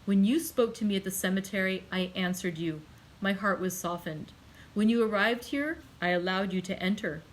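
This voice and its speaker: A woman's voice: medium pitch, moderate pace, natural voice, low-quality recording. Woman voicing a desperate, furious young man. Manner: loud, impassioned, accusing outbursts. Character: young man, desperate, angry, grief-stricken. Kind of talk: audiobook character reading.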